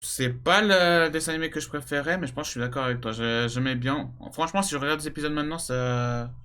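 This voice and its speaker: A man's voice: a little high, fast, pushed and bright, clean tone. A young man chatting informally as a co-host, giving his opinions with a teasing edge. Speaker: YouTube co-host. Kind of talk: casual chat, giving opinions. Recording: music behind the voice.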